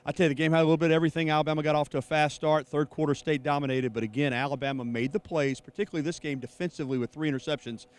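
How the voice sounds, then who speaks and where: Fast-talking American male sports reporter. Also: a little high, steady tone. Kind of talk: live report and interview.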